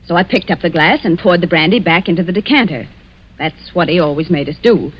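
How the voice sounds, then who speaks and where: An actor playing an earnest witness giving testimony in a 1940s radio mystery, on a dull vintage recording. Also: male voice, fairly high pitch, brisk, breathy edge. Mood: earnest, insistent.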